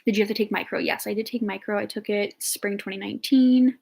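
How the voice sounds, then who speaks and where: A woman's voice: medium pitch, fairly even intonation, fast, vocal fry, a little mellow. A woman in her early twenties, a nursing student, chatting casually and answering viewer questions in a talkative, upbeat way with lots of fillers. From Ohio, United States. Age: 20s.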